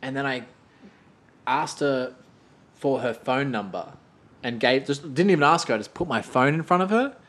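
A man co-hosting a casual chat, joking and teasing, often breaking into high laughter. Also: expressive, moderate pace, long pauses, fairly bright tone. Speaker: podcast co-host. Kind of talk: casual banter.

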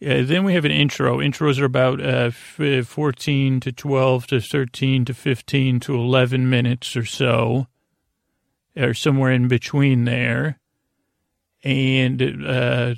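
A man with a creaky voice hosting a bedtime podcast, talking in a meandering, halting, digressive monologue meant to lull listeners to sleep. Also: medium pitch, dry recording, studio quality. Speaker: podcast host.

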